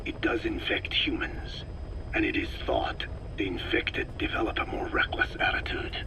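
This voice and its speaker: An actor playing an elderly, erudite male scientist in a video game, speaking in a breathy rasp with slow, deliberate, grave explanations. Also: background noise, natural voice. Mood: somber, explanatory. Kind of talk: video game audio drama acting.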